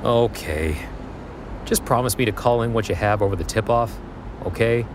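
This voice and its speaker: A man's voice: fairly low pitch, moderate pace, close to an everyday voice. A man playing a weary, guarded police detective in an audio drama, speaking in an official way. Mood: wary. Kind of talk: naturalistic audio drama acting. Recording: noisy outdoor street ambience behind.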